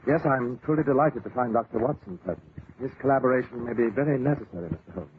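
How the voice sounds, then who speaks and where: On a noisy old radio recording, an actor plays a blustering upper-class English lord. He is pompous, wordy and exasperated, and rattles along quickly in 1940s radio-drama style. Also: mid-range voice, phone-quality sound, lightly acted. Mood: grave, confiding.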